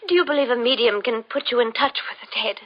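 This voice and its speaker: Woman acting anxious young sweetheart in an old radio drama, high breathy voice, halting, kinda muffled recording. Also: lightly acted. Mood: distraught, hesitant. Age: young adult. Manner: hesitant, halting, fretful. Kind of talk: 1940s radio mystery acting.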